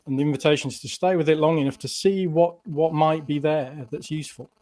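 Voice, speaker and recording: A male guest thoughtfully explaining an idea, speaking haltingly and guiding listeners through a small exercise. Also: on the high side, steady tone, warm tone. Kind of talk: casual explanation.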